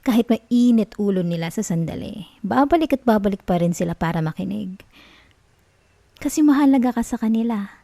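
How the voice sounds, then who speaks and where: A woman playing an obsessive, sweetly manipulative young college girlfriend in an ASMR roleplay, with a Filipino accent and a soft, coaxing, cooing manner. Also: mid-range voice, lively intonation, fairly quick, vocal fry, dry recording. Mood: gently coaxing, manipulative. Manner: coaxing, cooing, giggly reassurance.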